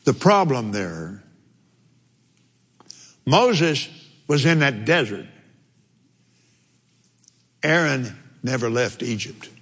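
A man preaching in a folksy, unhurried way, chuckling through asides and reading scripture aloud. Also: medium pitch, big pitch swings, a bit bright, breathy edge, long reverb tail.

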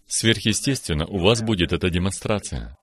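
Very deep-voiced preaching man. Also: normal speed. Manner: affirming, steady, frequent short exclamations of praise. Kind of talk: preaching conversation.

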